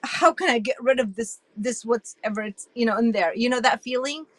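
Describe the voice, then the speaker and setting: An emphatic woman in her sixties, originally from Iraq, talks as an interview guest. Her voice sits fairly high and sounds bright and forceful, and she often restarts her sentences. The sound is close and dry. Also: brisk, no echo. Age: 60s. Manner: animated and emphatic, with frequent restarts. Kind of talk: casual interview storytelling.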